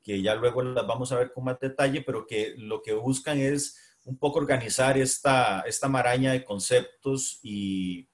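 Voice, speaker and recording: A middle-aged Costa Rican man giving a university lecture, with a Costa Rican accent, pausing often with fillers and restarting his phrases. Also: medium pitch. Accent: Costa Rican Spanish. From Costa Rica. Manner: hesitant, many fillers and restarts. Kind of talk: academic lecture.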